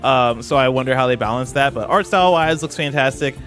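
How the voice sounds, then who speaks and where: A man's voice: medium pitch, brisk, a bit bright. Casual sweary man reacting on stream. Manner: profane, slangy. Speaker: streamer and host. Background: game showcase audio and music.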